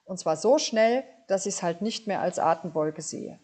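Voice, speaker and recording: A woman's voice: on the low side, lively intonation, moderate pace, darkish tone. A woman speaking as an expert, explaining science step by step in everyday words for children. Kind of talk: explanatory interview answers for children.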